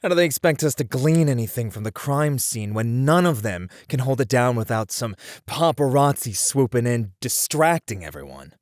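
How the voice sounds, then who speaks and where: A man's voice: medium pitch, sing-song, moderate pace, few pauses, darkish tone, no room sound, studio quality, lightly acted. A man playing a weary, frustrated detective in an ASMR roleplay, talking conversationally to a partner and thinking aloud. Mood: exasperated, venting. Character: weary, frustrated male detective venting about a serial case. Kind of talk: ASMR roleplay acting, spoken to an unheard partner.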